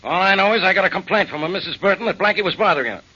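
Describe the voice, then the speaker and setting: A sarcastic grumbling police inspector. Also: male voice, high-pitched, expressive, very fast, pushed and bright, husky, exaggerated voice. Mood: grumpy. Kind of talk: 1940s radio acting. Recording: dull old radio recording with hiss.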